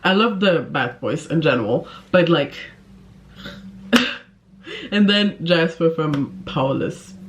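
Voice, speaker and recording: A woman vlogger talks casually to the camera in a fairly low-pitched female voice. Her delivery is emphatic and gushing, with a giggly, informal way of talking. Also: lively intonation, slow pace, long pauses, slight background noise. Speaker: book vlogger.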